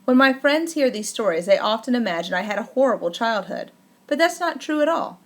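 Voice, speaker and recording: A woman reading a first-person memoir aloud as an audiobook, in a measured, deliberate way with careful emphasis. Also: on the high side, lively intonation. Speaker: audiobook narrator.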